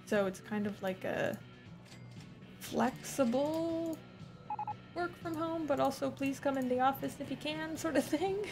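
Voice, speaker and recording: A woman livestreaming a game, chatting casually, laughing often and swearing freely, her voice a little hoarse. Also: sits high, measured pace, over music. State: slightly hoarse. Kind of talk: casual gameplay chat. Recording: noisy home stream recording.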